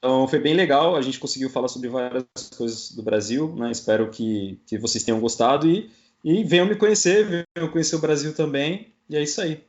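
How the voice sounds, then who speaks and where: A man's voice: mid-range voice, fairly quick, lo-fi sound. A man with a Brazilian accent talking casually as an interview guest. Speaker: guest, podcaster. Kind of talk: casual chat.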